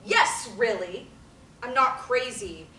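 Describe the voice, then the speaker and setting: A woman's voice: a little high, takes it slowly, pushed and bright. Woman reading a brash, foul-mouthed patient. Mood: angry, mocking. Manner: confrontational, sarcastic. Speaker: table-read actor. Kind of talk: script table reading. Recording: roomy, distant mic, light hiss.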